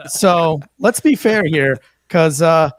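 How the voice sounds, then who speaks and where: A man's voice: a little high, animated, slow pace. A man from North Carolina with a North Carolina accent, co-hosting a podcast in joking, teasing casual banter. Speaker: podcast co-host.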